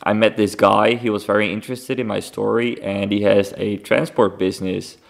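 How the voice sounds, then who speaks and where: Gravelly young Dutch man, low voice. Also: fairly even intonation, normal speed. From Netherlands. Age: young adult. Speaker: podcast host. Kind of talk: casual solo podcast update.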